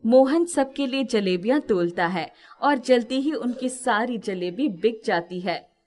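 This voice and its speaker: A woman's voice: fairly high pitch, animated, a little slow, a little mellow. A woman narrating a scripted moral story as its storyteller.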